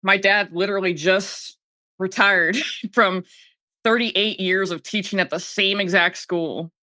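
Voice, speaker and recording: Bright, dry-sounding voice in candid podcast chat. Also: medium pitch, unhurried, no room sound. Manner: candid, animated, voices own thoughts as quoted self-talk. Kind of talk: casual podcast conversation.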